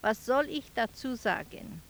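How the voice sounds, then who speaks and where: Slow, subdued, resigned woman. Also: on the high side, lively intonation, gravelly, heavy background noise. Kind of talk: radio play monologue.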